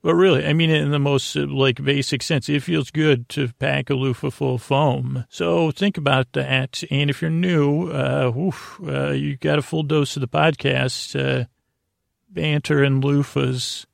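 Very creaky-voiced man, rambling softly. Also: a little high, steady tone, dry recording, clean studio sound. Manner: meandering, halting, tangent-prone, self-interrupting; lulling, soothing, friendly. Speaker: podcast host. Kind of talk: rambling bedtime monologue to help listeners sleep.

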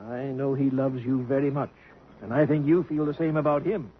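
A man's voice: medium pitch, steady tone, normal speed, a little hiss or hum, natural voice. An actor playing a sly, genial older grandfather who schemes to help his granddaughter, in a 1950s radio drama. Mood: confiding. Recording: lo-fi old radio broadcast recording.

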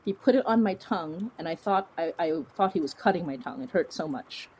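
A woman from the Philippines telling stories casually as an interview guest, in an animated, chatty, self-interrupting way. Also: on the low side, a little fast, slight background noise, poor audio. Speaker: podcast guest, fiction podcast creator. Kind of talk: casual conversational storytelling.

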